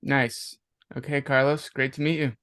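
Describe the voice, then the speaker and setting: A man's voice: medium pitch, level tone, moderate pace, a bit bright, dry recording, studio quality. A man leading introductions at the start of an online class, giving short friendly replies over a video call. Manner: friendly, brief acknowledgments. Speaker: course instructor.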